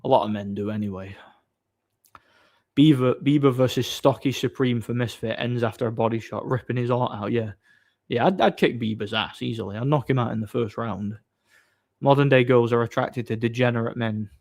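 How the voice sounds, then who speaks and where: A man's voice: mid-range voice, fairly quick, darkish tone. A man, chatting casually on a livestream, reading out viewer messages and answering with mocking boasts. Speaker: live streamer reading chat.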